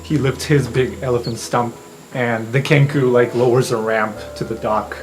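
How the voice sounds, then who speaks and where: A man's voice: mid-range voice, fairly even intonation, moderate pace, over music. A man hosting as game master, narrating an improvised tabletop game in an informal, joking way.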